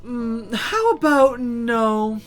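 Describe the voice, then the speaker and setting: A performer voicing a sultry female demon from a visual novel, teasing, with a slow, drawn-out, playful purr. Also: medium pitch, lively intonation, soft background music, pitched above the performer's own voice. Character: newly summoned sharp-toothed female demon, sultry and teasing.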